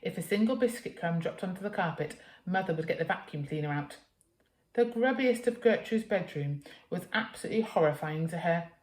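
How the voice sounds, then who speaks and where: A British woman reads a children's storybook with a teacher's expressive delivery. Her voice sits a little low. The recording has the echo of a reflective room. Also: a little fast. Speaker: teacher reading a story to pupils. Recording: echoey room.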